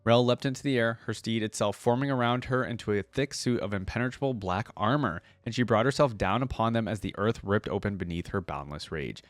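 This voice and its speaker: Low-voiced man in a dry, close recording. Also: a little fast, few pauses, no room sound. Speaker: reaction video host. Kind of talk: reading a fantasy story aloud with casual commentary.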